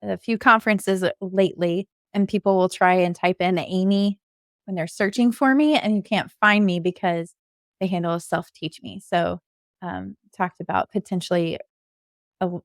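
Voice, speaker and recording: A woman's voice: fairly low pitch, normal speed, creaky, dry recording, clean studio sound. A woman chatting casually as a guest on a podcast. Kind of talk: casual chat.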